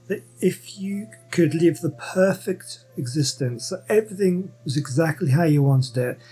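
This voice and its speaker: A man's voice: on the high side, dark tone, breathy edge, poor audio. A man commenting casually over a game, musing aloud and hesitating, often restarting his sentences. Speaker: gameplay host. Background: game music.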